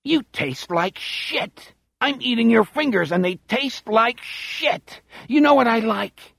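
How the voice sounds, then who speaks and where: A man's voice: extremely high pitch, animated, slow, gravelly, fairly bright tone, slightly rough, no echo, clean studio sound, voice pushed up, heavily acted. A man reading an audiobook voices a deranged old male cannibal killer with a Chicago voice, menacing and taunting. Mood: gloating.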